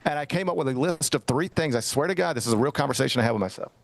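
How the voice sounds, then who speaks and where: Rambling middle-aged talk host, dry mic. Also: male voice, medium pitch, rapid-fire, creaky, dry recording. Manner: rambling storyteller, self-mocking, lively. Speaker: sports talk show host. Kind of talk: casual radio-style chat.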